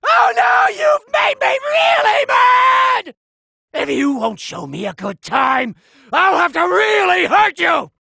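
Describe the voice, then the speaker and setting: A man voicing a pompous, petulant, gluttonous fat cat god of destruction in an anime video game, with a gravelly, strained, blustering delivery. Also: very high-pitched, huge pitch swings, slow, pushed and bright, no room sound, raised voice, studio quality, full character voice. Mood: irritated, threatening. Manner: bratty, blustering, imperious.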